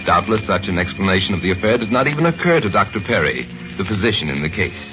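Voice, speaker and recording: A man narrating a radio mystery in a grave, deliberate way. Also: sits low, big pitch swings. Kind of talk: scripted dramatic narration. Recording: dull old radio recording with music.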